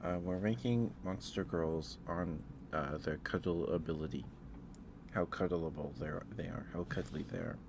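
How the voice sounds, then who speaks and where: A man hosting an ASMR livestream, chatting casually in a halting, rambling way with pauses and repetitions. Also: deep voice, creaky, noisy background. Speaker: livestream host.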